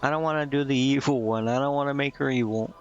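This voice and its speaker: A man giving casual, informal let's-play commentary as a game streamer, talking in a halting way. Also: medium pitch, steady tone. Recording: dull lo-fi mic, music behind. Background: game music.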